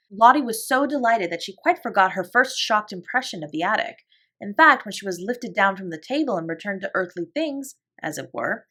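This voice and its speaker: A woman reads a classic novel aloud as a bedtime story. Her voice sits at a middle pitch and stays calm, gentle and measured. She narrates with a soft, unhurried steadiness. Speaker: audiobook reader.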